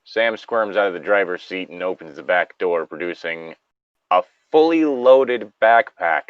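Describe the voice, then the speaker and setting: A low-voiced man reads a story aloud with very lively intonation. He drops in chatty, joking asides as he goes. The sound is close and dry, a little dull and lo-fi. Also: a little slow, smooth, no room sound. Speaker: co-host reading stories aloud. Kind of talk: story reading with casual banter.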